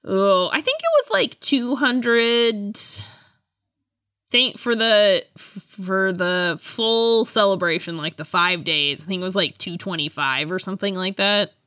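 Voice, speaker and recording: A woman's voice: medium pitch, lively intonation, slow pace, sharp tone, dry recording, studio quality. A woman co-hosting a podcast in casual fan chat, chatty and rambling, often hedging and trailing off. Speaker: podcast co-host.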